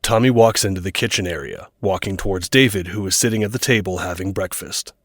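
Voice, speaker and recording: Low-voiced man narrating an action drama. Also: quick pace, a bit bright, no echo, studio quality.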